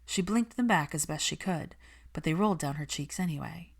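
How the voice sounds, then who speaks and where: Female audiobook narrator, slightly low pitch, scripted fiction read. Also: expressive, brisk, creaky, breathy edge.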